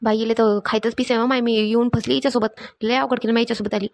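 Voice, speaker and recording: Cartoon village housewife, female actor: high-pitched, racing delivery, impatient nagger. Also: level tone, racing, natural voice. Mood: exasperated. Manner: complaining, colloquial. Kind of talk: Marathi comedy cartoon dialogue.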